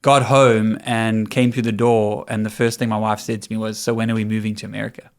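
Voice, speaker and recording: A man in his forties with a South African accent, a pastor telling his story as a guest in a casual, relaxed podcast chat. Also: lowish voice, fairly quick. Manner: relaxed, storytelling, laughs easily. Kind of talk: casual conversational interview.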